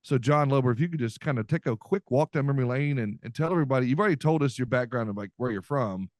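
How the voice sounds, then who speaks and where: A man's voice: medium pitch, fast, no echo, clean studio sound. A man hosting a podcast, chatting casually in an upbeat, folksy way with lots of fillers. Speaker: podcast host. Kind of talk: casual podcast chat with ad reads.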